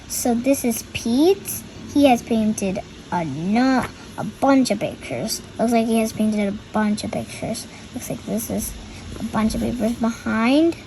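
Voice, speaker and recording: A young girl reads a picture book aloud in a high voice. She takes her time and stops to explain the pictures, with faint noise behind her. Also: animated, slow pace, darkish tone, low-quality recording. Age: child.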